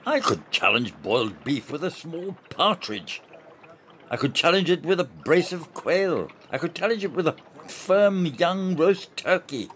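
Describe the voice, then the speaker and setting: Man playing older male officer, radio play, stubborn, savoring, emphatic, lively intonation, slight background noise. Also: a little high. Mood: teasing challenge. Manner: savoring, emphatic, drawn-out descriptions. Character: stubborn, gloating older male soldier-officer relishing imagined food. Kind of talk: amateur radio play acting.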